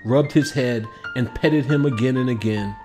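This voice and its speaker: Man, mid-range voice, storybook narrator, expressive scripted read-aloud, faint background music. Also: mid-range voice, moderate pace.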